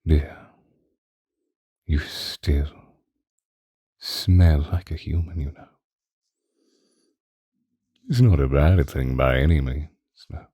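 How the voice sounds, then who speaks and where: A man playing a possessive, doting ancient vampire lover in a breathy, intimate ASMR roleplay, close to the microphone. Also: no echo, heavily acted. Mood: hungry, seductive. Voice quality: very deep, dark, husky, breathy, often whispering. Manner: slow, intimate, old-fashioned romantic wording. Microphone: close microphone, dry.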